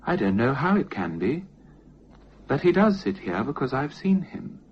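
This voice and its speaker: A man voicing a grave, matter-of-fact girl of about seven in a radio-style ghost story, speaking simply and with quiet certainty. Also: mid-range voice, very animated, normal speed, lo-fi sound, a little hiss or hum, voice pushed up, natural voice. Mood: calm, certain.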